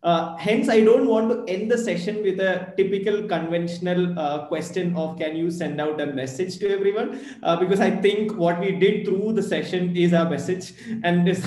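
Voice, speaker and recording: Young moderator in an echoey room. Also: male voice, high-pitched, a little mellow. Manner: hurried, thankful, hesitant fillers. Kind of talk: closing a video call panel. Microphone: webcam on video call. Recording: roomy echo on call audio.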